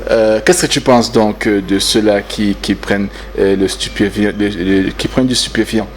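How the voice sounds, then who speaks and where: A man's voice: lowish voice, normal speed. Male talk-show host, Cameroonian French accent, teasing, hesitant delivery, faint background hiss. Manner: relaxed, hesitant, many fillers and restarts, teasing. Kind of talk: casual live talk show interview.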